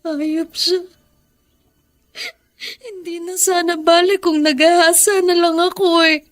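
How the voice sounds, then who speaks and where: An actress playing a grieving, bitter young daughter in a melodramatic radio drama, tearful and anguished. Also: female voice, extremely high pitch, level tone, slow, no echo, studio quality. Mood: bitter grief.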